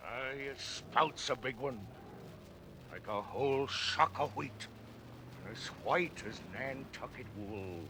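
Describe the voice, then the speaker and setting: An actor playing an obsessed, vengeful old whaling captain in a classic radio drama, speaking in grandiose, archaic oratory. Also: male voice, medium pitch, creaky, pushed and bright, heavily acted. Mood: excited, intense. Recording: faint noise, old radio play recording.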